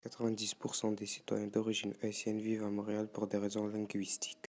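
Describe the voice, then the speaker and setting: A man reading scripted documentary narration aloud in an even, read-out delivery. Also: on the low side, brisk.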